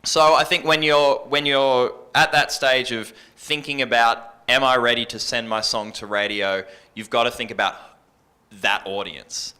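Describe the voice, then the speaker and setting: A man speaks on a panel in a bright, clear-cut voice of middling pitch. He is earnest and conversational, reworking his phrases as he explains things from a radio presenter's point of view. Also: steady tone, a little slow. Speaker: radio presenter and music programmer on an industry panel.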